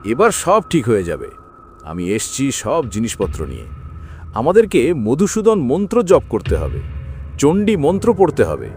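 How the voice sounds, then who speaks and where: A man's voice: medium pitch, huge pitch swings, a little slow, darkish tone, a little hiss or hum. A man voicing a commanding, reassuring village guru and exorcist in a horror cartoon, with a declamatory delivery.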